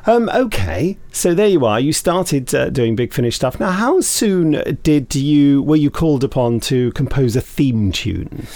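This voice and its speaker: A man's voice: mid-range voice, lively intonation, unhurried, hardly any pauses, dark tone, no room sound. A man co-hosting a podcast, asking a guest questions in a chatty, jokey way. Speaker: podcast co-host. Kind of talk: casual interview chat.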